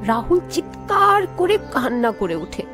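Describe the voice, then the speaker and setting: A woman's voice: high-pitched, very animated, measured pace, music in the background. A woman reading scripted narration for a children's fairy tale cartoon.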